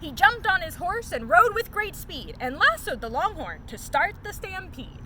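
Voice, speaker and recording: A woman reading a children's picture book aloud as a story time host, in an expressive, sing-song storytelling manner. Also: very high-pitched, normal speed, a little hiss or hum.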